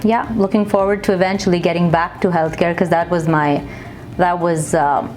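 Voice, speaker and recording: Lower-voiced woman, hesitant interview guest. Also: steady tone, normal speed, darkish tone, soft background music. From Kuwait. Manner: conversational, hesitant with fillers and restarts. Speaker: guest, singer. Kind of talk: casual interview answers. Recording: faint background noise.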